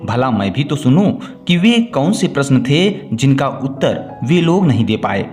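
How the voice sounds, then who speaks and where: A man's voice: medium pitch, very animated, unhurried, darkish tone. Man as a formal, inquiring king. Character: curious, fair-minded king questioning a subject. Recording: music bed under the voice.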